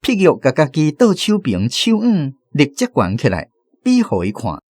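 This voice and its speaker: A man's voice: on the high side, huge pitch swings, moderate pace, darkish tone, dry recording. A man with a Taiwanese accent narrating a radio drama as a storyteller.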